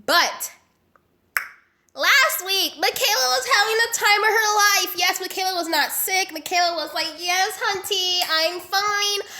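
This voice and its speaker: A young woman with a high voice tells a story in a casual vlog. Her voice is bright, with a stuffy, nasal sound from a cold. She talks in a chatty, animated way, with plenty of slang and fillers. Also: unhurried, slight background noise. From Las Vegas. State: sick, congested and nasal. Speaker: vlogger.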